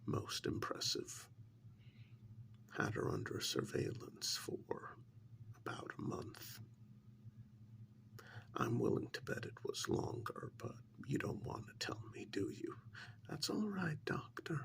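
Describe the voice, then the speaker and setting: A man playing a sly, insinuating interrogator in an ASMR role-play, speaking in a slow, deliberate, soft whisper with mock admiration and dramatic pauses. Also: mid-range voice, wildly swooping pitch, full character voice. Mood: sly, menacing. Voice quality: breathy whisper with gravelly creak. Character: sly, insinuating male interrogator needling a mad scientist.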